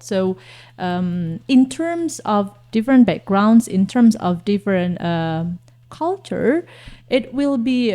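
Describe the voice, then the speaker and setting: Hesitant woman with a mellow voice. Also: medium pitch. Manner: hesitant, searching for words with repeated fillers. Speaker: guest teacher. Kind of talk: radio talk show discussion.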